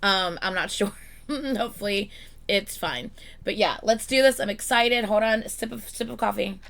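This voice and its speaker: Bright, slightly hoarse woman vlogger. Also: medium pitch, moderate pace. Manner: chatty, excitable, swears freely. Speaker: reaction channel host. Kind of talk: casual reaction vlog chat.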